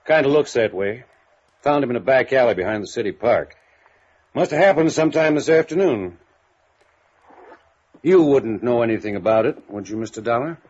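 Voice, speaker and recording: Husky, folksy man acting a small-town police chief. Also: medium pitch, animated, normal speed, pauses often, darkish tone, natural voice. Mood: dry, cagey. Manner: laconic, folksy drawling idiom. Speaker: radio drama supporting actor. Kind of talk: 1950s radio drama acting. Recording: muffled vintage radio recording.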